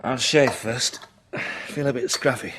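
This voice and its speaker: An actor playing a cautious, pragmatic survivor of a catastrophe in a radio drama, speaking firmly and matter-of-factly. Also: male voice, mid-range voice, unhurried, noisy background, natural voice. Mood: practical. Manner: matter-of-fact, firm. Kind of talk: radio drama acting and narration.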